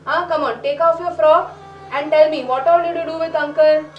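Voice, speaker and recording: A woman's voice: sits high, fairly even intonation, normal speed, lo-fi sound, natural voice. An actress playing an insensitive doctor with an Indian accent, insistent and patronising as she coaxes a child in a film. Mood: pushy, coaxing. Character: insensitive, pushy woman doctor questioning a child. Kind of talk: dramatic film acting. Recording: roomy, distant, music behind.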